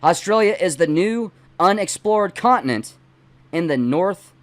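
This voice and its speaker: A middle-aged man, not a native English speaker, hosting and reading aloud in deliberate, emphatic phrases with casual asides. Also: high voice, animated, fairly bright tone. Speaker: host reading a book aloud and commenting. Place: small dim indoor home studio.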